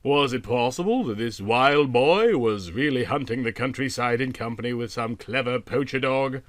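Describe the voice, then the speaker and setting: A man narrating a literary story from a script in a dramatic, expressive storytelling manner. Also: mid-range voice, moderate pace, no room sound. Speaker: audiobook narrator.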